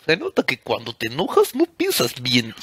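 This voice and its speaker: A woman's voice: rumbling low, wildly swooping pitch, normal speed, exaggerated voice. Woman as a swooping, scornful anime classmate. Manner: blunt, scolding. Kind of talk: amateur comic anime dub. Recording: noisy amateur app dub.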